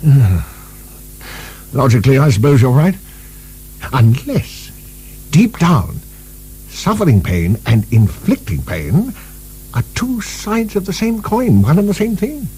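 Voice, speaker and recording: A man's voice: on the high side, huge pitch swings, slow pace, pauses often, breathy edge, exaggerated voice, low-quality recording. An actor playing an earnest, fanciful male asylum director in a radio drama, musing and hesitant as he searches for words. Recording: soft recording with faint hum.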